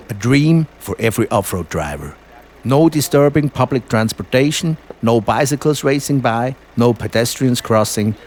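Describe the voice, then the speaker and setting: A man's voice: lowish voice, a little hiss or hum. A man narrating a travel film as a voice-over, in a tongue-in-cheek, enthusiastic way. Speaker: narrator of a band promotion road trip.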